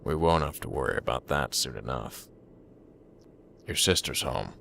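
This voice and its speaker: A man's voice: rumbling low, expressive, moderate pace, vocal fry, bright, forceful tone, a little hiss or hum, heavily acted. A man playing a middle-aged, controlling father who sounds kindly, in an anime fan drama. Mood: calm, manipulative.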